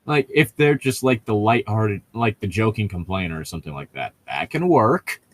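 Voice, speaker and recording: A man's voice: fairly low pitch, sing-song, normal speed, dry recording. A man co-hosting a podcast, chatting casually and jokingly and rambling into tangents as he corrects himself. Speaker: podcast co-host commenting on a book. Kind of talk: casual comedic chat.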